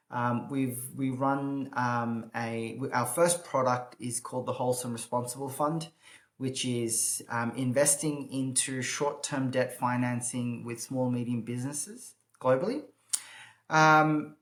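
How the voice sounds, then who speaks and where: A man in his thirties, raised in Australia, answering interview questions thoughtfully with many filler pauses. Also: medium pitch, fairly bright tone. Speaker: guest, impact investor. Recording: roomy echo.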